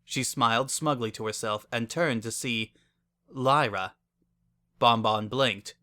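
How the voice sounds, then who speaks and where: A man's voice: medium pitch, slow, bright, forceful tone. A man reading scripted dramatic narration as a story narrator.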